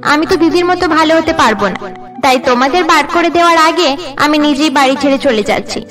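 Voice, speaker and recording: Woman as a high-voiced headstrong cartoon daughter. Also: animated, brisk, music in the background. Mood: hurt defiance. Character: headstrong, rebellious younger daughter, a young student. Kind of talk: Bengali cartoon dialogue.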